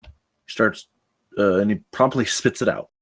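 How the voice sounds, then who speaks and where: A man's voice: on the low side, normal speed. A man narrating stage directions from a script at a live table read.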